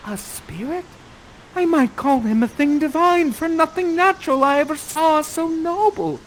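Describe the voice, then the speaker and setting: A man's voice: very high-pitched, normal speed, a little hiss or hum, voice pushed up, rough recording. A man voicing a sheltered young noblewoman, speaking verse in a raised, breathless voice. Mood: wonderstruck.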